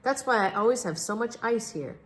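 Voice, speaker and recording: Woman playing an excitable, anxious storybook king. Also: on the high side, fairly quick, a little hiss or hum, voice pushed up, close to an everyday voice. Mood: earnest. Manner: exclaiming, earnest, questioning. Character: anxious, excitable male king who lost his elephant.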